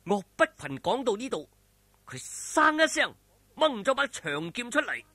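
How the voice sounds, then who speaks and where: A male Cantonese storyteller with a high, forceful and husky voice. He narrates a martial arts tale in colloquial, suspenseful style, with pitch that swings widely. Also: very animated, unhurried, no echo. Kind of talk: dramatic oral storytelling of a martial arts novel.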